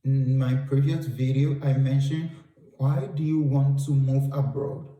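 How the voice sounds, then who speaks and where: A man's voice: mid-range voice, level tone, measured pace, dark tone. A man from Ghana with a Ghanaian English accent, giving travel advice to camera and repeating key points for emphasis. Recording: roomy sound, distant from mic.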